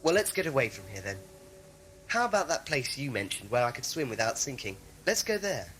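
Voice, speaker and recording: A man's voice: mid-range voice, sing-song, brisk, a bit bright. A man playing a cheeky, sceptical schoolboy from Earth in an audio drama. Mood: cheeky, wry. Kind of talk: fan audio drama acting. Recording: faintly noisy old cassette recording.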